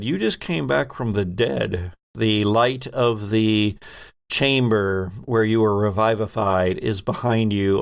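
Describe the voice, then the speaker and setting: A man's voice: lowish voice, clear, resonant tone. A man running a tabletop horror game as game master, improvising suspenseful narration. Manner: suspenseful, second-person scene-setting.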